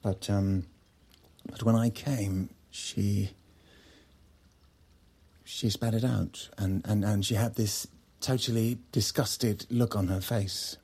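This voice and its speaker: Niepewny, urażony mąż z chrypką. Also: male voice, on the low side, fairly even intonation, slow, a little mellow, breathy edge, exaggerated voice. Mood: embarrassed, hurt. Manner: stammering, hesitant, resentful. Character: Oliver, awkward, wounded, self-conscious husband. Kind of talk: naturalistic audio drama acting.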